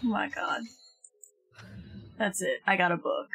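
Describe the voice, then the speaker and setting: A woman's voice: mid-range voice, animated, unhurried, gravelly, a bit bright. A young woman chatting casually on a game stream, complaining in a playfully whiny, dramatic way. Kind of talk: casual gaming stream chat. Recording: music behind the voice. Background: music.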